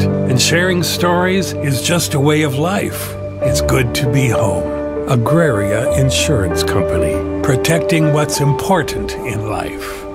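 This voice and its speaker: A man reading a sentimental scripted advertisement as a commercial announcer. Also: mid-range voice. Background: loud music.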